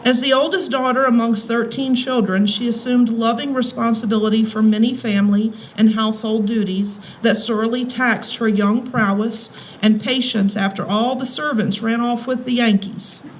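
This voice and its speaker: A woman's voice: a little high, fairly even intonation, moderate pace, hardly any pauses. American woman speaking and reading aloud, faint noise. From Front Royal, Virginia, USA. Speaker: schoolteacher, heritage society president giving a reading. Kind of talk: informal public talk and reading aloud. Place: live talk at a historic house tour. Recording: faintly noisy live event recording.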